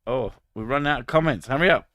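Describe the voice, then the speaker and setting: A man's voice: medium pitch, quick pace, fairly bright tone, dry recording, clean studio sound. A British man in his thirties chatting casually on a stream, crude and sarcastic, swearing freely. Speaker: streamer reading chat. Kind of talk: casual live stream chat.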